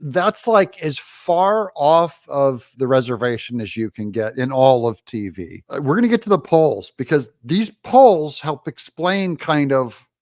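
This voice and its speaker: A man's voice: medium pitch, sing-song, unhurried, darkish tone, dry recording, clean studio sound. A man hosting political commentary, opinionated and sarcastic, reacting emphatically to clips. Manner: sarcastic, emphatic, mocking voices.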